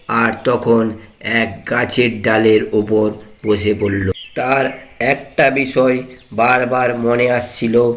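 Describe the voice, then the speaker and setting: A man's voice: medium pitch, fairly bright tone. A man narrating a scripted cartoon fairy tale as its storyteller.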